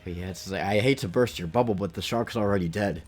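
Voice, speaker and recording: A man's voice: deep voice, quick pace. A man in his late twenties chatting casually and rambling through anecdotes as he streams a game to viewers. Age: late 20s. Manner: rambling, anecdotal, acts out quoted remarks. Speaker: video game livestreamer. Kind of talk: casual chat with viewers while gaming. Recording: music behind the voice.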